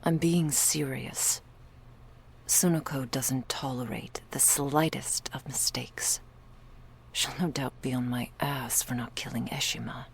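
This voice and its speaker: A woman's voice: extremely low pitch, fairly even intonation, slow, vocal fry, a little hiss or hum, heavily acted. A woman playing a stern, protective female vampire bodyguard in an ASMR roleplay, cool and clipped, often close to a whisper. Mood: wary, low-key.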